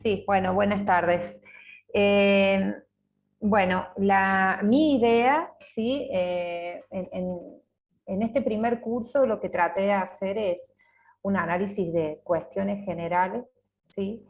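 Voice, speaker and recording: A woman starting a class presentation over a video call, slow and hesitant, with tag questions. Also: mid-range voice, steady tone. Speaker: student presenter. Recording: dull lo-fi video-call audio.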